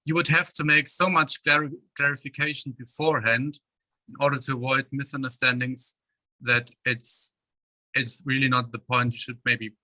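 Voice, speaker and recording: A man's voice: medium pitch, bright, forceful tone, no echo, low-quality recording. A man reporting his group's discussion in an online seminar, hesitant and searching for words. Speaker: workshop participant.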